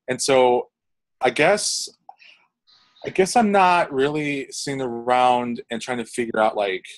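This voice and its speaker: A man's voice: mid-range voice, poor audio. A young man, a composer, answering interview questions in casual talk, rambling with many fillers and restarts.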